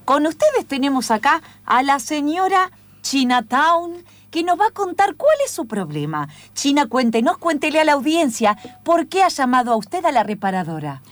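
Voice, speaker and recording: Casting: woman playing showy Argentine talk-show mediator, very high voice, big swooping delivery, radio comedy. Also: big pitch swings, normal speed. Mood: showy, welcoming. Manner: emphatic, showy, repeats phrases. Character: theatrical, sensational talk-show hostess and mediator. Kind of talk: improvised comic radio play.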